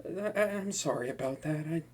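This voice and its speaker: A man's voice: fairly high pitch, animated, brisk, slightly rough. A man playing a flustered, boastful young male supervillain who stammers and swings between moods. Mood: remorseful. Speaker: voice actor. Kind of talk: hobby audio roleplay to a listener.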